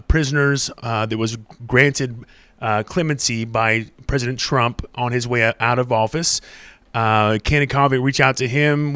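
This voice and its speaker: A man's voice: mid-range voice, fairly bright tone, clean tone, no echo. A man hosting a live show in a casual, conversational style. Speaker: show host.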